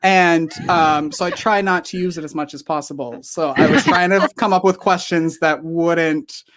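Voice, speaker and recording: A woman's voice: fairly low pitch, steady tone, normal speed. A woman from northern Wisconsin with a northern Wisconsin accent, co-hosting a podcast with an enthusiastic, laughing manner. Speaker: podcast co-host. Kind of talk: casual podcast chat.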